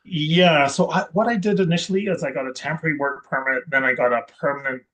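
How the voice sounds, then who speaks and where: A man from Scotland, a guest investor chatting in an interview, rambling and correcting himself with many pauses and restarts. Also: fairly high pitch, steady tone, fairly bright tone. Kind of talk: casual interview chat.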